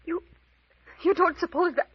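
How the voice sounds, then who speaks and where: A woman's voice: very high-pitched, moderate pace, no echo. A woman playing a terrified, pleading young woman in a radio thriller, faltering and breathless. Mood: fearful, pleading. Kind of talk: old-time radio thriller acting. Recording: dull, lo-fi vintage radio recording.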